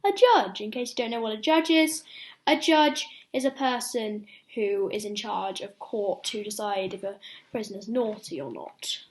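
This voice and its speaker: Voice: mid-range voice, animated, normal speed, low-quality recording. A young reader reading a children's bedtime story aloud, expressively, with explanatory asides to little listeners.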